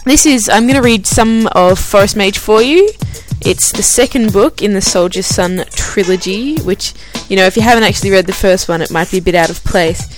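A woman's voice: mid-range voice, moderate pace, hardly any pauses, soft background music. An Australian woman hosting her own podcast, chatting in a self-deprecating way with filler words before reading a novel aloud. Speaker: podcast host. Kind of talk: casual chat, then reading a novel aloud.

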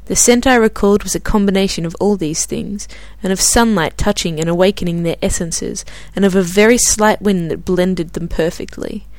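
Australian woman podcast host, lower voice, casual and self-deprecating, reads fiction aloud. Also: moderate pace, hardly any pauses, darkish tone, no echo. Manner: chatty and self-deprecating, with filler words. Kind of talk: casual chat, then reading a novel aloud.

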